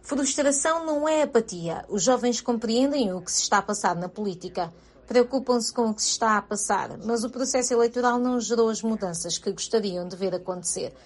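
A woman's voice: a little high, brisk. A woman giving a plain, conversational dubbed voice-over in a news report.

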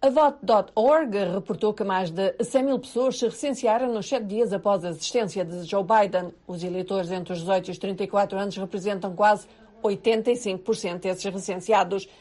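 Measured newswoman. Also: medium pitch, darkish tone. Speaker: reporter. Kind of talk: news report narration.

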